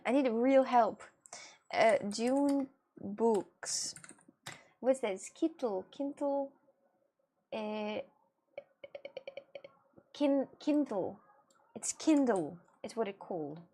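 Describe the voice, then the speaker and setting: Woman, live-stream chat, fairly high pitch, hesitant, giggly. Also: expressive, mellow, slight background noise. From Russia. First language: Russian. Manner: hesitant, halting English with many fillers and giggles. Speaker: livestreamer.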